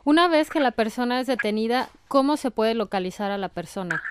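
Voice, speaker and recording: Woman interviewer asking clear questions. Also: fairly high pitch, expressive, dry recording. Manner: measured, asks clear questions. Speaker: radio interviewer.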